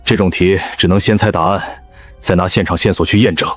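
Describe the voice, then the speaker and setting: A man playing a coldly observant young leader in an animated survival drama, speaking in a measured, curt, analytical way. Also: sits low, faint music behind. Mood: cool, analytical. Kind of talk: animated web drama acting.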